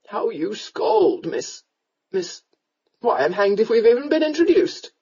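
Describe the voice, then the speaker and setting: A woman voicing a drunken, roguish Regency gentleman in an audiobook, with a slurred, rambling, drawling delivery. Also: high voice, big pitch swings, a little slow, husky, dull audio, exaggerated voice. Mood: drunken, befuddled.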